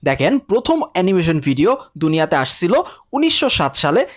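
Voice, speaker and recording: A man's voice: a little high, very animated, quick pace, a bit bright. A man with a Bangladeshi accent teaching a tutorial in a chatty, direct way, repeating instructions for emphasis. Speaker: animation teacher. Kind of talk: tutorial lesson with casual direct address.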